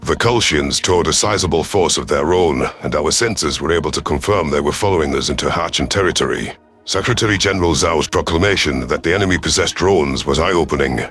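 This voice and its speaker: Man as a deep, stern alien commander. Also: fairly quick, fairly bright tone, soft background music. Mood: stern, measured. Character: gruff male reptilian alien rebel commander, sardonic, proud. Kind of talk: first-person scripted audio story narration.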